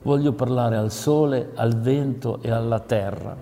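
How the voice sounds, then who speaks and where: A man voicing a frightened young man in a fairy tale, pleading, louder and higher than his narration. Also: unhurried, long reverb tail, faint music behind, close to an everyday voice.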